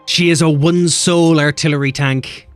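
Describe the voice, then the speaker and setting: A man's voice: fairly high pitch, dry recording, faint music behind. A man streaming a game, chatting casually and swearing as he bickers with chat. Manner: animated, swearing, quick banter with chat.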